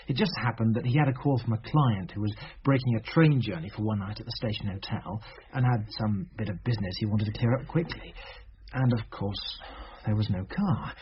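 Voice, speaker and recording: A man's voice: mid-range voice, natural voice. Radio drama role: nervous well-bred youth played by a man, hesitant, very varied intonation, faint hiss behind. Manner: hesitant, defensive. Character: anxious, apologetic well-off youth questioned by police. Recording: dull, faintly noisy radio drama recording.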